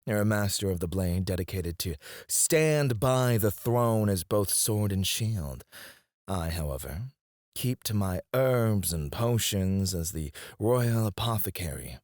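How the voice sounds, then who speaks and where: A man's voice: lowish voice, darkish tone, no room sound, studio quality. A man playing a cocky, disdainful royal alchemist in a scripted fantasy audio roleplay, speaking in a formal, haughty, theatrical way. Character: cocky, disdainful male royal alchemist, sharp-witted and proud. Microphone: close, dry studio mic.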